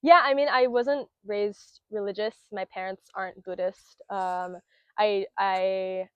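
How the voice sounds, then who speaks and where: A woman's voice: medium pitch, steady tone, slow, fairly bright tone, smooth, no echo. Earnest young woman, muffled and dry. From Florida, United States. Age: 20s. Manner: earnest, lots of fillers and laughs, acts out quotes. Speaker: interviewee, Buddhist student. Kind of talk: casual spoken interview.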